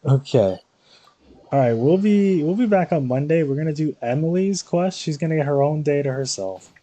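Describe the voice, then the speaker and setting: A man's voice: a little high, expressive, normal speed, warm tone. A tired man chatting casually on a game livestream, rambling and slangy, repeating himself as he goes. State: tired after very long stream.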